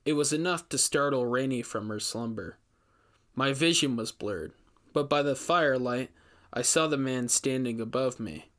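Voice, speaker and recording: A man narrates a horror story in the first person. His voice sits at a middle pitch. He delivers it as scripted storytelling. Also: lively intonation, normal speed, a bit bright.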